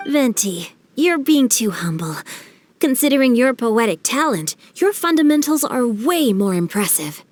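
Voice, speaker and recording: A woman's voice: a little high, big pitch swings, unhurried, a little mellow, no room sound. A woman playing a refined, witty teenage boy in an anime game, speaking politely and articulately. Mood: admiring. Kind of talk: anime game dub acting.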